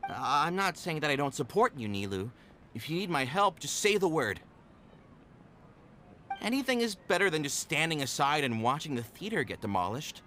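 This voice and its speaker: A man's voice: a little high, sing-song, normal speed, pushed and bright, a little hiss or hum. A man voicing a doubtful but loyal male troupe member in a game.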